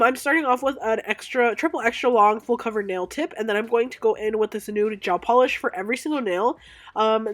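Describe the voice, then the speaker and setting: Young woman, congested sick voice, casual chatty voice-over, fillers and self-corrections, at home. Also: fairly high pitch. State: congested, stuffed-up voice from illness. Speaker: nail art creator.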